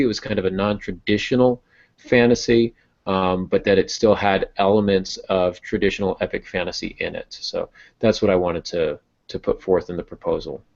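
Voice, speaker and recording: Low-voiced man on a muffled recording. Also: moderate pace, gravelly, a little mellow. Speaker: novelist and podcast host. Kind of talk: casual podcast talk about writing. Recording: dull, band-limited audio.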